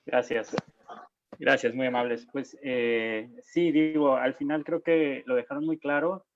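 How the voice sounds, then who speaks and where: A man's voice: medium pitch, steady tone, measured pace, no room sound, dull audio. Hesitant young man on a call. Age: young adult. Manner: hesitant, many fillers and restarts. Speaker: panelist, young political activist. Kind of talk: online panel discussion. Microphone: video call.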